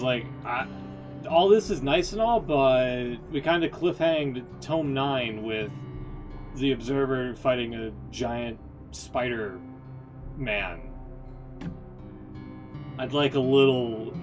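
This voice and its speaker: A man's voice: mid-range voice, animated, slow pace, over music. A man streaming casual, off-the-cuff reactions to game stories in a sardonic, mock-exasperated manner.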